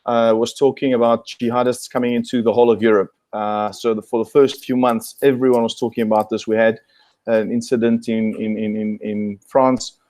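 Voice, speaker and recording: A man's voice: medium pitch, level tone, normal speed, a little mellow, no room sound. A man from South Africa, a guest on a video call, answering thoughtfully with many fillers and restarts.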